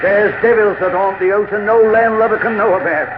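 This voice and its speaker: An actor playing a superstitious, panicky ship's bosun in an insistent, fearful, dramatic 1940s radio style. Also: male voice, high voice, steady tone, brisk, natural voice. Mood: ominous. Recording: scratchy tinny vintage radio, heavy noise.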